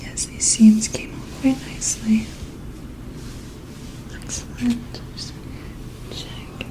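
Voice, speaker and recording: A woman performing an ASMR personal-attention role-play, speaking in a gentle, soothing, attentive manner. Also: a little high, little pitch movement, takes it slowly, poor audio.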